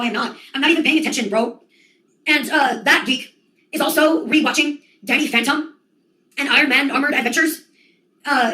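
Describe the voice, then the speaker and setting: A woman's voice: high-pitched, pushed and bright, recorded from a distance, low-quality recording. An American woman giving a rambling, casual monologue full of slang, acting out bits of mock dialogue as she goes. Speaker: monologue host.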